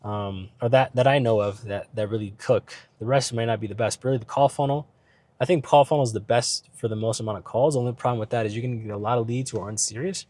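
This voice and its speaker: A man in a car chatting loosely about business, voice worn from being ill. He rambles with fillers and often tosses in a quick "right?" to the listener. His pitch sits in an ordinary middle range. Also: darkish tone, no echo. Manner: rambling, filler-heavy, keeps asking "right?". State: ill, voice starting to go. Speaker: business vlogger. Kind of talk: casual talk while driving.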